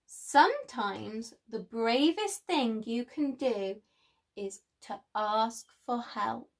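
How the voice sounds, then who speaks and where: A woman's voice: on the high side, expressive, slow, full character voice. A woman voicing a tender, encouraging mother lioness in a children's storybook. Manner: gentle, encouraging.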